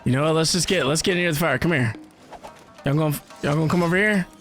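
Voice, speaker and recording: A man's voice: a little high, quick pace, music in the background. A man giving casual, informal reaction commentary as he plays a video game. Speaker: gaming streamer.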